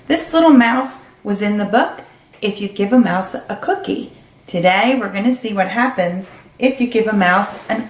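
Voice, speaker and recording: A woman reading a storybook aloud to young children. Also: mid-range voice. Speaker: storybook reader. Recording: roomy, echoey recording.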